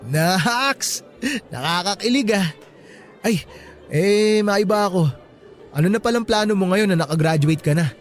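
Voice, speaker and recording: Radio drama sweetheart: high-pitched, affectionate, playful Filipino boyfriend, faint music underneath. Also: male voice, animated, unhurried, pauses often. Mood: teasing, sweet. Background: background music.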